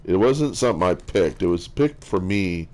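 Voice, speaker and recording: Guy with a big low bass voice, mellow, slow, lots of ums and restarts, just rambling. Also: animated. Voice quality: strong bass voice. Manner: halting, with many fillers and restarts. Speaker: streamer. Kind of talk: casual rambling chat.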